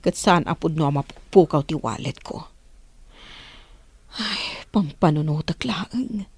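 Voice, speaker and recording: A woman's voice: low voice, unhurried, darkish tone, slightly rough, no room sound, full character voice. A woman with an Ilocano accent acting a forgetful, secretive village mother in a radio drama. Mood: worried.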